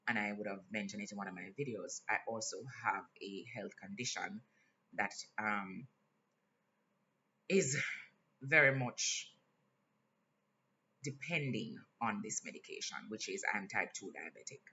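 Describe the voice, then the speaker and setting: A man with a Jamaican accent talking in a casual vlog, earnest and candid, pausing often with fillers. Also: fairly low pitch, sharp tone. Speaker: vlogger. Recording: slightly dull lo-fi sound, faint hiss.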